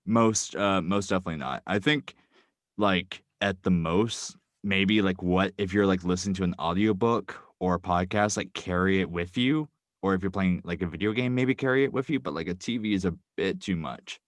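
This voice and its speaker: Low-voiced man rambling from a closet. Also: normal speed, dry recording. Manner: rambling, lots of ums and likes. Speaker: podcast guest. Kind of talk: casual chat. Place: small cramped closet. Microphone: microphone wrapped in a blanket. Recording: dry, deadened closet sound.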